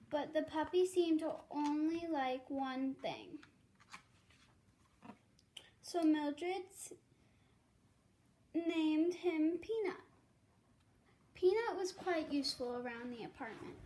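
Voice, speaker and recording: A young girl reading a children's storybook aloud carefully, with some halting. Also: high-pitched, darkish tone.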